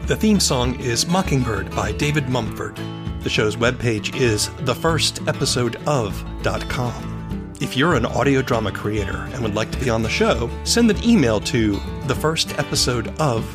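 A man's voice: mid-range voice, animated, moderate pace, music in the background. A man hosting a podcast, reading scripted narration and putting questions to a guest. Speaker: podcast host.

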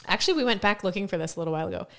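A woman hosting a casual podcast chat about coaching, chatty and quick to laugh. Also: mid-range voice, expressive, fairly bright tone. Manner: chatty, laughs often, says "like" a lot. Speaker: podcast host and CrossFit coach.

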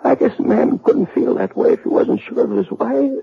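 Husky, gasping wounded cowboy. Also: male voice, very high-pitched, normal speed, heavily acted. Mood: weakly forgiving. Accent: American. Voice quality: husky, creaky, strained. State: weak and gasping, dying. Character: gravely injured cowboy, jealous husband turned remorseful and forgiving. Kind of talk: 1950s radio western acting. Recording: muffled 1950s radio recording, music.

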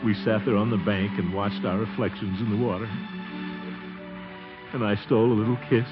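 An actor playing a flustered, sentimental middle-aged American bachelor in a theatrical 1940s radio comedy style. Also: male voice, fairly low pitch, steady tone, normal speed, close to an everyday voice. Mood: fondly nostalgic. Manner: theatrical, sighing, exclaiming. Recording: muffled 1940s radio recording with music.

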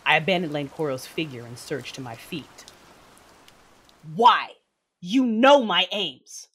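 A woman's voice: sits low, sing-song, a little slow, a bit bright, slightly rough, heavily acted. A woman playing an intense, battle-hardened Sith mother who narrates her own story theatrically in an audio drama. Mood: brooding, tense, then anguished anger. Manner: brooding, theatrical, emphatic outbursts. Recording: music bed with rain sounds behind.

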